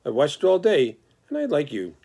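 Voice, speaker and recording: Thin little friendly spider lady, soft and kind, higher up, man puts her on. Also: wildly swooping pitch, brisk, vocal fry, full character voice. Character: small gentle female spider voice.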